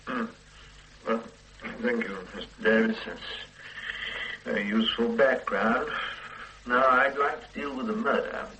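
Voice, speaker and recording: A man's voice: medium pitch, steady tone, measured pace, long pauses, pushed and bright, slight background noise, rough recording. An actor playing a methodical male police detective in a radio play, asking formal, politely probing questions. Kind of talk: 1970s-80s radio play acting.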